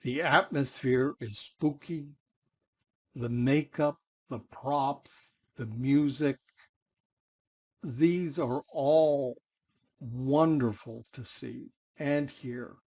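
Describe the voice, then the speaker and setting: Slow, measured man reviewing. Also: mid-range voice. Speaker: film festival audience member. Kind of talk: measured spoken film review.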